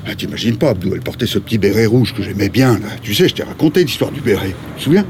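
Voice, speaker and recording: A male actor playing a worn, middle-aged homeless man haunted by lost love, colloquial and gruff, in a naturalistic radio drama. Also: fairly low pitch, very animated, racing, background noise. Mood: excited, hopeful. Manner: colloquial, gruff, emotionally volatile.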